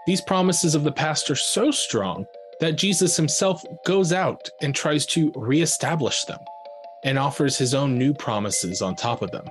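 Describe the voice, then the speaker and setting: A man giving a scripted, dramatic scripture narration with measured, solemn, deliberate phrasing. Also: mid-range voice. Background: faint music.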